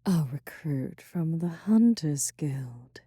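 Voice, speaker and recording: A woman voicing a sultry, cruel female succubus in an ASMR audio roleplay, purring and mocking in slow whispers close to the mic. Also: deep voice, animated, dark tone, husky, no room sound, heavily acted.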